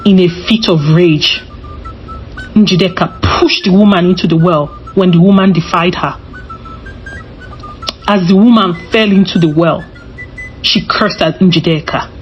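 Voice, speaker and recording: Female storyteller, slightly low voice, scripted folktale narration, a bit dull in sound over music. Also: measured pace, long pauses.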